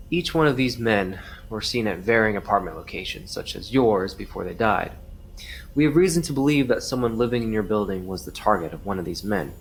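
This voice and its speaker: A man acting as a calm, formal police detective who persistently questions a witness in a crime audio drama. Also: fairly low pitch, slight background noise, low-quality recording.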